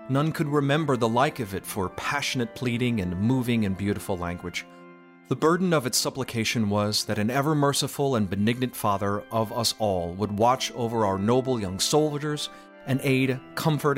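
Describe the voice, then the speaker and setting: A man reading a literary story as narrator, in a dramatic audiobook style. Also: medium pitch. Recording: music behind the voice. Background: background music.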